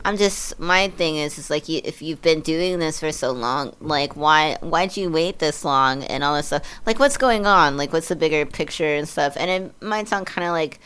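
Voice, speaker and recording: A woman in her early thirties with an exceptionally low speaking voice and a clean, resonant tone. She talks as a podcast guest in a loose, slangy way, blunt and peppered with profanity. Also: normal speed, hardly any pauses, fairly bright tone, clean tone. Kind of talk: casual chat.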